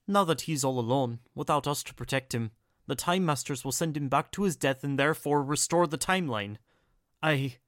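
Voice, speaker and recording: A woman playing an excitable, shrunken shapeshifter character in an audio drama. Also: very deep voice, no echo, studio quality. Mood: despairing.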